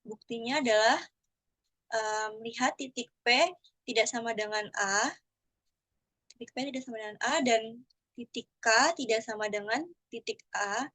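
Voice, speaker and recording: A young woman from Indonesia presents a theorem to her class in a slightly higher voice. The sound is dry and close, and her delivery stays steady apart from occasional hums and restarts. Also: measured pace, long pauses, dry recording. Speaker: student presenter.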